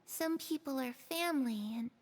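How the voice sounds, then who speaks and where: A woman's voice: high-pitched, normal speed. A woman voicing an innocent, guarded young ghost girl in a video game. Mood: ominous, guarded. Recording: game music under the voice.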